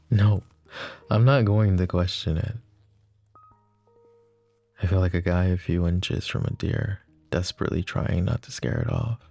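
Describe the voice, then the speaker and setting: A man doing a deep, gravelly lovesick mafia boss. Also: measured pace, long pauses, dry recording, full character voice. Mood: tender, yearning. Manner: soft, intimate, confessional, often whispering. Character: lovesick, possessive young male mafia boss. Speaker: voice actor. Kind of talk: ASMR roleplay.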